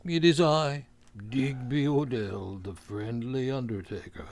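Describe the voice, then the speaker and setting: An actor playing a genial, courtly undertaker with morbid wit, in the formal, drawn-out style of 1940s radio comedy. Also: male voice, medium pitch, a little mellow, no echo, natural voice. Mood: cheerfully solemn. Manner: formal, unhurried, drawn-out delivery with morbid puns.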